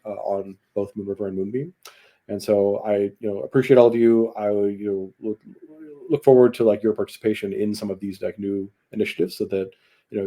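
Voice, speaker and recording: A man giving casual, explanatory interview answers as a tech executive, speaking in a halting, rambling way on a video call. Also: lowish voice, dark tone. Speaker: tech company chief executive, guest.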